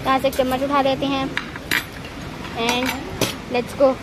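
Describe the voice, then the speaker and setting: A woman's voice: sits high, little pitch movement, slow pace. A young girl chatting casually to her phone camera at a restaurant terrace, calling her viewers guys. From Pakistan. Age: child. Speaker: child vlogger. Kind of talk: casual vlog chat to camera. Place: large open-air restaurant terrace. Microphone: phone camera held close. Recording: loud background noise, restaurant terrace.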